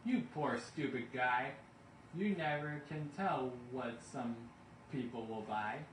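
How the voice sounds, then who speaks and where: A man's voice: mid-range voice, slow, natural voice. A man voicing a greedy, brash storybook entrepreneur who talks in a boastful, brusque, salesman-like way. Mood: dismissive. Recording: roomy, distant mic, faint background noise.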